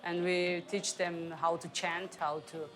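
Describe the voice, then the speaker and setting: A woman's voice: lowish voice, flat delivery, unhurried, a bit bright. A woman from Georgia, speaking earnestly in simple English about teaching folk music. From Georgia (country). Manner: earnest, plainspoken in non-native English. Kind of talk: interview, personal account.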